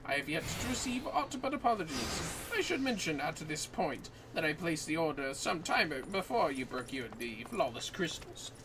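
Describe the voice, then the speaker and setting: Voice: mid-range voice, sing-song, moderate pace, hardly any pauses, noisy background. Streamer putting on a theatrical, courteous scholarly man, swooping intonation. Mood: formal, concerned. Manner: theatrical, archaic formal diction. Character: courteous, formal scholarly man, an earnest quest giver. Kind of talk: video game dialogue read aloud in character.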